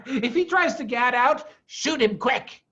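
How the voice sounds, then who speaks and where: A gaunt old-storybook farmer villain, played by a male reader, blunt and commanding. The voice is pushed high and swings widely, in a slightly dull recording. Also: animated, slow pace, bright, forceful tone, full character voice. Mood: bossy, scheming.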